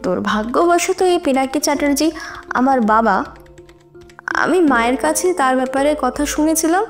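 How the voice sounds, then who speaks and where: A young female student gives testimony in a courtroom audio drama. Her voice is high and earnest, deliberate and heavy with contained distress, with music underneath. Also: lively intonation. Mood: solemn confession. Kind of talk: Bengali audio drama acting.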